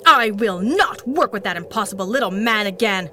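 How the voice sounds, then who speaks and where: A woman's voice: mid-range voice, lively intonation, normal speed, soft background music. An actress playing a short-tempered, scornful female supervillain in a 1930s-style radio serial, cutting and menacing. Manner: sharp, scornful.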